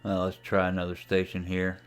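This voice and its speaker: A man's voice: low-pitched, monotone, fairly quick, clean tone. A man giving a casual, unscripted screen-share tutorial as a radio hobbyist, thinking aloud as he operates. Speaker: amateur radio hobbyist demonstrating software.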